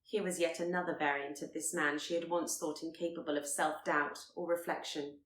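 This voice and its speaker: A woman's voice: sits low, little pitch movement, brisk, fairly bright tone, echoey room. A woman reading a period romance story aloud as scripted narration. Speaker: audiobook narrator.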